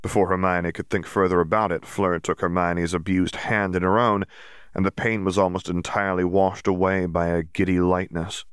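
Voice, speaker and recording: A man's voice: deep voice, flat delivery, normal speed, fairly bright tone, smooth, no room sound, studio quality. A man reading a fantasy story aloud as scripted audiobook narration.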